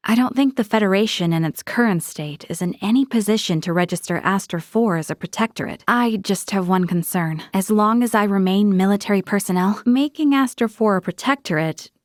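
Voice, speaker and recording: A woman's voice: mid-range voice, fairly quick, hardly any pauses, dry recording, studio quality, close to an everyday voice. A woman voicing a principled, stern young female military officer in a video game, with a formal, earnest delivery. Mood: grave, formal. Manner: formal, earnest, crisply articulate. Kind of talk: video game character voice acting.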